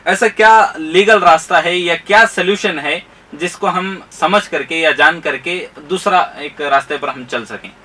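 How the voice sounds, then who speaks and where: A man's voice: fairly high pitch, a little slow, sharp tone. A man hosting a news interview, asking questions in a polite, formal way. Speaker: interviewer, host.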